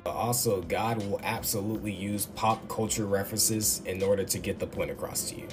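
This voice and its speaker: A man with a lowish voice gives an upbeat faith talk at a rapid clip. He speaks into a phone held close in an indoor room, with music playing clearly behind him. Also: fairly even intonation. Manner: quick, enthusiastic, conversational. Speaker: Bible teacher. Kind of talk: casual devotional teaching. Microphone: phone camera up close. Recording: background music under voice.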